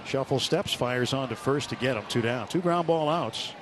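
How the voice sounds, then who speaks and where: Male baseball announcer over clear ballpark noise. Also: mid-range voice, normal speed. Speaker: radio baseball play-by-play announcer. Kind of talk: live sports commentary. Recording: clear stadium noise behind live broadcast. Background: outdoor ballpark ambience.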